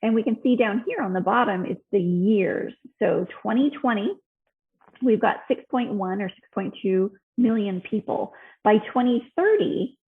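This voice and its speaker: A woman's voice: medium pitch, normal speed, phone-quality sound. A woman in her fifties with an American accent, presenting an informal health class in a conversational, explanatory way. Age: 50s. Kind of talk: informal slide lecture.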